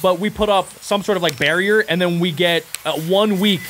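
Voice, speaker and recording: A man's voice: high voice, normal speed, background noise. A young man co-hosting a casual video reaction chat, joking and rambling at a studio mic in a small room. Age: young adult. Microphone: studio mic on arm, earbuds.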